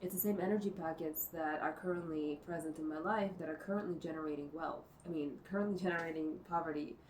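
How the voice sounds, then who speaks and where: A woman vlogging from home, thinking out loud in a rambling way, full of fillers and cursing. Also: low-pitched, fairly even intonation, fast. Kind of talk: rambling think-aloud monologue. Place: indoors at home. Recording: distant mic with faint noise.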